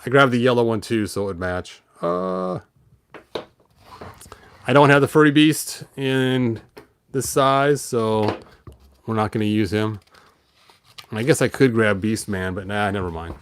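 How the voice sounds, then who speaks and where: A man's voice: medium pitch, expressive, a little slow, creaky, pauses often, a little hiss or hum. A man reading a children's story aloud, breaking off for playful, rambling, wry asides in a casual way. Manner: playful, rambling, tosses in sound effects and wry remarks. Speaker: host of a toy and storybook show.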